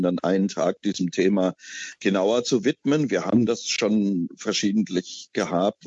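A middle-aged man from East Germany, a festival curator, answering interview questions in a halting way with many filler sounds. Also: low voice, darkish tone, slightly rough, no echo. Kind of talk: radio interview guest. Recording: dull lo-fi broadcast audio.

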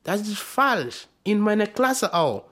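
Emphatic slow woman, lively pitch. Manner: emphatic, insistent, non-native grammar. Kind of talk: emphatic interview answer.

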